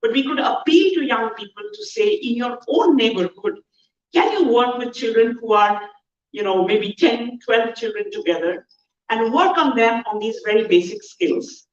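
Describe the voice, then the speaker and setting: Indian woman keynote speaker, fairly high voice, earnest and measured, distant dull hall sound. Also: lively intonation. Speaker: conference speaker. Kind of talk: conference keynote talk. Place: large conference hall.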